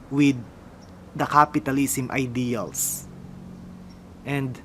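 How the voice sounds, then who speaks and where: A young Filipino man with a Filipino accent drawls through a casual vlog, unhurried and a bit mumbly. His voice sits in an ordinary middle register, and a faint hiss of background noise lies under it. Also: mid-range voice, a bit bright. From Philippines. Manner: slow, mumbling, musing aloud. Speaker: motorcycle vlogger. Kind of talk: casual product unboxing vlog.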